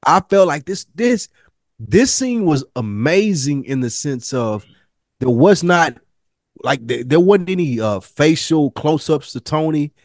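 A man's voice: on the high side, lively intonation, measured pace, no room sound, studio quality. A man co-hosting a review podcast, making points in emphatic, slangy casual talk and repeating phrases for stress. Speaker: podcast co-host reviewing a TV drama. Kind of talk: casual chat.

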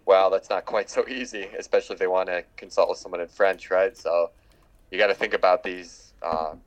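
A man's voice: sits low, fairly even intonation, brisk. A man hosting a casual conversation, talking thoughtfully and hesitating with fillers.

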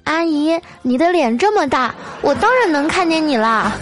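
A woman's voice: high-pitched, expressive, normal speed, music in the background, voice pushed up. A woman voicing a cheeky little boy in a comic story, earnest and whiny, blurting things out with childlike hesitations. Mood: cheerful, cheeky.

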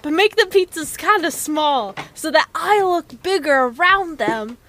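Tiny, vain male movie star, acted by a comic performer: high voice, earnest self-importance, faint noise behind. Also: expressive, unhurried, sharp tone, full character voice. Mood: earnest confiding. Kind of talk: improvised character comedy.

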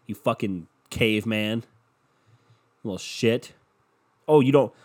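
A man's voice: fairly low pitch, slow pace, a little mellow. Stuffy, sick young man ranting casually. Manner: loose, profane, rambling and jokey. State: sore throat, throat-clearing. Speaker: podcast host. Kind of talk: casual solo podcast rant.

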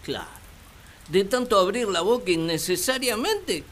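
A woman's voice: medium pitch, expressive, measured pace, heavy background noise, lightly acted. A woman playing a naive, grumbling first man who loves solitude, with whiny, exaggerated delivery in a radio drama. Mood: annoyed, puzzled. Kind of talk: radio drama monologue and dialogue.

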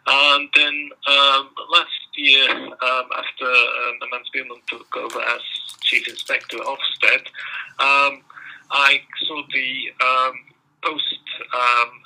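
A man's voice: medium pitch, sharp tone, low-quality recording. A middle-aged man from Belgium with a Belgian accent, answering interview questions in a hesitant way with many ums. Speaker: guest researcher.

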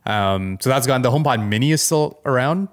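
A man co-hosting a podcast, chatting casually about tech news and thinking aloud in a halting, conversational way. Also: mid-range voice, animated. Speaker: podcast co-host.

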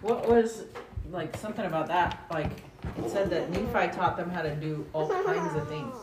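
Low-voiced mother reading scripture aloud. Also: normal speed, heavy background noise. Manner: steady reader, prompting questions in talk. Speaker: parent leading family scripture reading. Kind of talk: reading scripture aloud, then family discussion.

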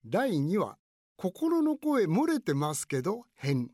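A woman narrating a light radio comedy in a measured, presentational scripted style. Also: fairly low pitch, huge pitch swings, background noise, exaggerated voice.

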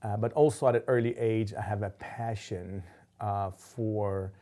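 A man's voice: lowish voice, steady tone, dark tone. A middle-aged man from Lebanon, an imam speaking as a guest in an interview, with frequent ums and restarts. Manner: halting, many ums and restarts. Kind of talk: interview conversation.

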